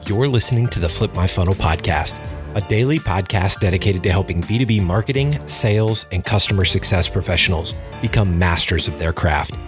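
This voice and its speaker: A man with a very deep voice reads the show's opening in a smooth, even tone. A clear music bed plays behind him. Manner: smooth and measured, reading the tagline. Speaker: podcast announcer. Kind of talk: scripted show intro.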